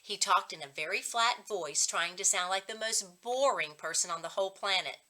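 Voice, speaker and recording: A woman reading a children's story aloud as a reading coach, in expressive narration. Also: mid-range voice, moderate pace.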